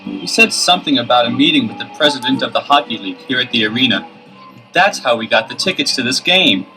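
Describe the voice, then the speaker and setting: An actor playing a keen, confident teenage detective brother in a 1970s children's audio adventure, earnest and emphatic. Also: male voice, mid-range voice, animated, fast, over music, lightly acted, rough recording.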